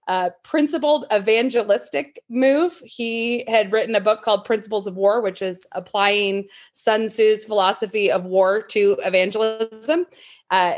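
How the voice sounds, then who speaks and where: Frank, laughing woman podcast guest. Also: mid-range voice. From Idaho, USA. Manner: frank, laughs often, thinks aloud with ums. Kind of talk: casual interview chat.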